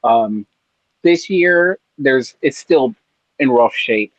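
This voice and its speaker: A man's voice: medium pitch, expressive, slow, no echo, highs cut off. A man from California, a podcast co-host, chatting in a laid-back, rambling way with lots of fillers. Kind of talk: casual chat. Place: on vacation in Maui.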